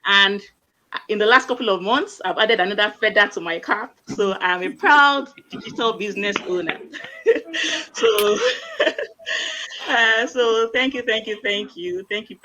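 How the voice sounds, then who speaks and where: A woman's voice: medium pitch, lively intonation, moderate pace, pushed and bright, rough recording. A woman, a doctor on a live online panel, explaining plainly and repeating key phrases. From Nigeria. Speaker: medical doctor, panel guest.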